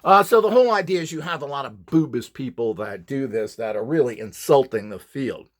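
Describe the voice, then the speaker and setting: A man's voice: mid-range voice, animated, a little fast. A man giving an informal, rambling lecture as an instructor, in a blunt, opinionated way with sarcastic asides. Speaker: instructor presenting a build tutorial.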